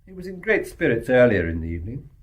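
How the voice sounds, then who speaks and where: An actor playing a brisk, genial English family doctor in a period radio drama, with breezy delivery. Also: male voice, medium pitch, sing-song, close to an everyday voice. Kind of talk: stage-play radio drama acting.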